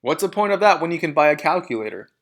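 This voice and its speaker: A man acts out a boorish storybook dad, blustering and dismissive. He is loud and emphatic, with pitch that leaps around. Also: big pitch swings, fast talker.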